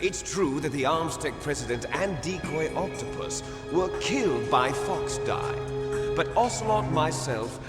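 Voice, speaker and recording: Game villain: bitter twin, grandiose and mocking, big swings in pitch, echoing hall with music behind. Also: male voice, lively intonation, unhurried. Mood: smug, calculating. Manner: theatrical, mocking, grandiose declarations. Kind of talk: dramatic video game voice acting. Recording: echoey reverberant hall under game music.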